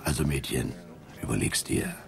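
Radio drama role: slick club recruiter, rock-bottom pitch, animated delivery, curt and casual. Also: male voice, moderate pace, creaky, exaggerated voice. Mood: slick, pushy. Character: slick, sleazy nightclub boss recruiting girls.

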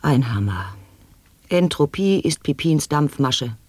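An actor in a radio play declaiming nonsense sound poetry in slow, halting word-by-word exclamations. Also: male voice, fairly high pitch, very animated, husky, no room sound, heavily acted.

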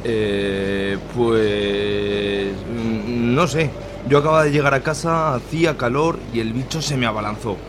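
A man's voice: medium pitch, measured pace, few pauses, noisy background, natural voice. A man playing a grandfather who is shaken up, hesitates and swears. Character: older grandfather, shaken and crude.

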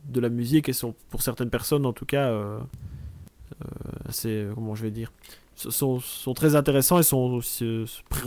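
Man with a Liège accent, dark voice. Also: medium pitch, dry recording. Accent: Liège, Belgian French. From Belgium. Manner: searches for words, restarts often. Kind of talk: casual panel chat.

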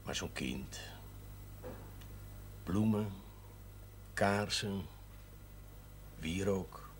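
Casting: dry-witted country priest, measured and teasing, TV drama, slightly noisy old soundtrack. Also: male voice, sits low, heavily acted. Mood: calm, sly. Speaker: actor in a television drama series. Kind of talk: television drama dialogue.

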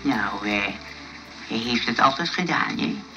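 A man's voice: medium pitch, lively intonation, slow, music in the background. An actor playing a stubborn, chatty old grandfather in a comic radio play, rambling, hesitant and repeating himself. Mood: grumbling, stubborn. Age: older. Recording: dull, noisy old radio recording.

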